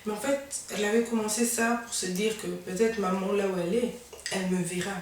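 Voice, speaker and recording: A woman's voice: medium pitch, steady tone, a little fast, slight background noise. A woman interviewing a guest, supportive, with frequent short affirmations and follow-up questions. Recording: echoey room, mic at a distance.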